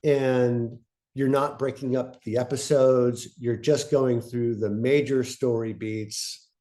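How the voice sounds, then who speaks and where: A man co-presenting a webinar in an informal instructional way, thinking aloud in halting, broken-up phrases. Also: medium pitch, steady tone, dark tone. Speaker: co-presenter of a fiction podcast pitching webinar.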